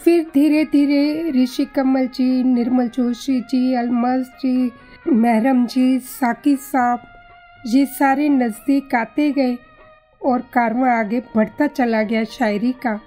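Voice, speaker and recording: A woman's voice: high-pitched, fairly even intonation, takes it slowly, dark tone, soft background music, low-quality recording. A woman reminiscing as a guest in a conversational interview.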